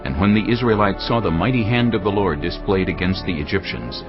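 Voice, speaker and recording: Scripture narrator: male, very low, expressive, dramatic read over a prominent score. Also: fairly quick. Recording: muffled recording under cinematic music.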